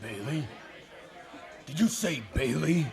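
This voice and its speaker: A man's voice: fairly high pitch, expressive, slow, faint music behind, exaggerated voice. An actor playing an angry husband who threatens a man in a bar in a radio play. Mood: menacing. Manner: gruff, threatening.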